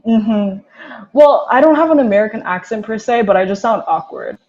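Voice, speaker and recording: A woman's voice: on the high side, lively intonation, moderate pace, a little mellow. Reflective young woman, muffled sound. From Korea. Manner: reflective, many fillers. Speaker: guest. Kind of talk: casual conversation.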